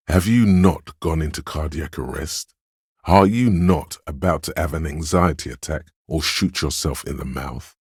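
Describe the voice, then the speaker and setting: Game casting: slick inner voice of style, extremely low bass, coaxing and theatrical, close dry sound. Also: male voice, no echo, exaggerated voice. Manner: smooth, theatrical, coaxing second-person address. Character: inner voice of agility and style, slick urging hustler. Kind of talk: video game inner-monologue voice lines.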